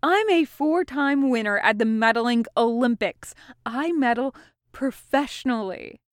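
A woman's voice: on the high side, measured pace, dry recording, studio quality, natural voice. A woman voicing a brash, self-important male fantasy champion who whines and declaims with passion in an audiobook story. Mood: passionate, indignant.

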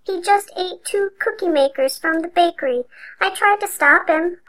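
Woman voicing a tiny tearful girl elf, very high, bright, sobbing. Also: normal speed. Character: small sobbing female elf.

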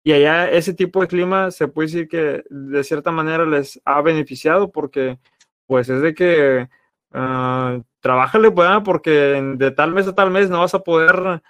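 A man's voice: a little high, normal speed, fairly bright tone, dry recording. A man with a Mexican Spanish accent, chatting casually as a podcast co-host, rambling and checking in with tag questions. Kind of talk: casual chat.

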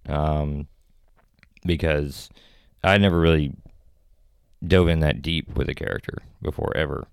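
Very deep gravelly man, podcast guest. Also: darkish tone, dry recording, clean studio sound. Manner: laid-back, rambling, laughs often. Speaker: actor and filmmaker guest. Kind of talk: casual podcast chat.

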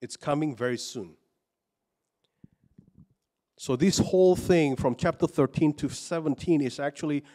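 A man's voice: mid-range voice, darkish tone. A man from Indonesia giving a sermon, speaking hesitantly with many fillers and restarts, personal and earnest. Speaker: preacher.

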